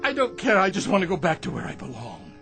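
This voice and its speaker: Older male downtrodden custodian: stammering, deferential, high voice that swings widely, radio drama. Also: huge pitch swings, quick pace, creaky, music in the background, exaggerated voice. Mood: desperate, pleading. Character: meek, desperate, downtrodden old man, a custodian.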